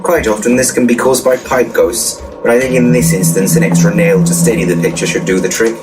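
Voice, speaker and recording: Man playing a low, pompous handyman ghost-buster, distant. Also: fairly even intonation, a little fast, loud music behind the voice, natural voice. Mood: pompous, assured. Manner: pompous, matter-of-fact. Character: cocky, crude, know-it-all male ghost-busting handyman. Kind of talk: full-cast comedy audio drama. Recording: distant mic, clear background noise.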